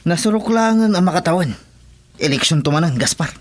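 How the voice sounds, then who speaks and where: A man's voice: on the high side, animated, brisk, natural voice. A man acting a loyal, wary henchman sidekick in an Ilocano radio drama. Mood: wary, grumbling.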